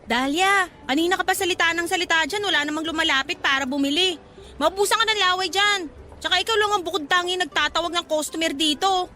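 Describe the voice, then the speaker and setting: A woman's voice: very high voice, quick pace, sharp tone. A woman playing a gossipy, pragmatic young shop co-worker in a radio drama, talking in a sassy, slangy way. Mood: nagging.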